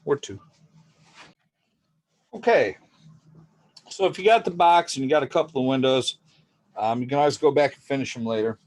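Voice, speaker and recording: A man teaching a drawing class in a relaxed, chatty way, talking through each step and adding jokey asides. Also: mid-range voice. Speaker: art teacher. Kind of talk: casual drawing lesson.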